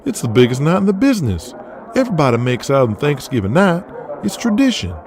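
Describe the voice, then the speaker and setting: A man playing an eager, loyal wrestling booker in an audio drama, pitching things in an informal, persistent way. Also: huge pitch swings, brisk, a little mellow. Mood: casual.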